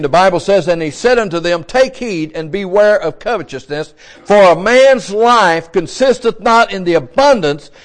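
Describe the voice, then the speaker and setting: A man in his sixties, a pastor, preaching a sermon in a folksy, repetitive way, restarting his words. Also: high-pitched. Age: 60s.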